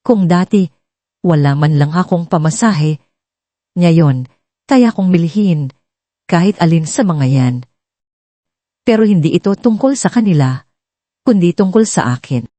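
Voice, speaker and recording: A woman voicing a humble, quietly resolute self-made millionaire in a dramatic story, earnest and deliberate. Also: fairly low pitch, expressive, no room sound. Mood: reflective, determined.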